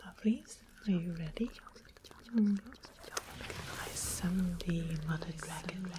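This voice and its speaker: A woman playing a sweet, mysterious witch shopkeeper in a whispered ASMR fantasy roleplay, breathy, deliberate and coaxing. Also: on the low side, background noise. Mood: incantatory. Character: sweet-smiling, secretly menacing witch shopkeeper, soothing and mysterious.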